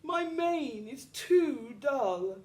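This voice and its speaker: A woman's voice: sits high, lively intonation, drawn-out words, darkish tone, pitched above the performer's own voice, heavily acted. A woman voicing a vain, theatrical storybook lion who frets over his mane. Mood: dismayed.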